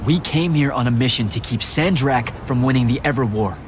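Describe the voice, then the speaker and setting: A man's voice: medium pitch, a little fast, a little hiss or hum, lightly acted. A man playing an earnest, plain-spoken young soldier hero in a video game cutscene, casual and direct. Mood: conciliatory.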